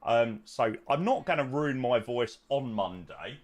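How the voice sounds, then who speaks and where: Hoarse man chatting on stream. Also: medium pitch, expressive, unhurried. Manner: rambling, self-interrupting, frequent ums. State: hoarse, croaky voice. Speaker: flight sim streamer. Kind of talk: casual stream chat.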